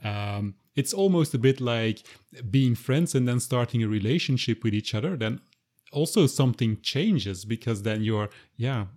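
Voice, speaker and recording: A man's voice: mid-range voice, expressive, moderate pace, a little mellow. A man hosting an interview, asking thoughtful questions that he works out as he speaks. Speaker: interviewer host.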